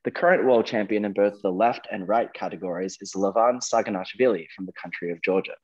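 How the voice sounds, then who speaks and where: A man with a fairly low voice works as a quizmaster, presenting questions and adjudicating answers. The sound is somewhat dull, as on an online call. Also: brisk, darkish tone. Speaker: quizmaster, host. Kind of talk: reading quiz questions and ruling on answers.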